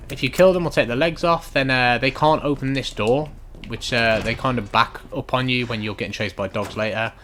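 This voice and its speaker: A man giving unhurried, instructional commentary over a video game walkthrough in a casual way. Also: mid-range voice, fairly bright tone, slight background noise. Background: video game ambience.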